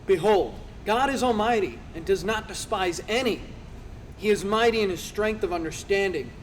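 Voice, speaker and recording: A man's voice: sits high, moderate pace, lightly acted. A man playing an earnest male counselor who rebukes and lectures in a declamatory dramatized scripture reading. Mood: earnest, reverent. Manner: declamatory, preaching. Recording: reverberant hall, faint background noise.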